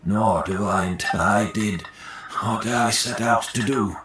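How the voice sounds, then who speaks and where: An actor playing a proud, brooding older male master perfumer in a fantasy game, with deliberate, theatrical delivery. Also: lowish voice, echoey room, noisy background. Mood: resigned, proud. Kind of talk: scripted dramatic voice acting in a fantasy game. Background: game music.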